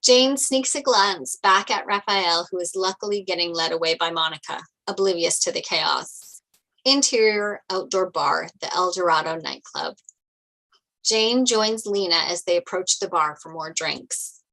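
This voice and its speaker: A woman reading script narration and stage directions aloud at a table read in an animated storytelling way. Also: lowish voice, a little slow, creaky, low-quality recording.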